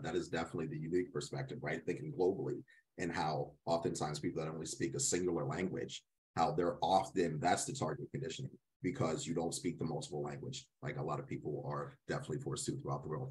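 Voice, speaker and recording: A man's voice: very deep voice, fairly even intonation, quick pace, a little mellow, low-quality recording. A man facilitating an interactive workshop, affirming participants and often checking in as he explains.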